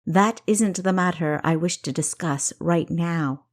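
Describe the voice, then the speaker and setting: A woman reading a storybook voices a composed older sister who answers in a measured, resigned way. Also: on the low side, lightly acted.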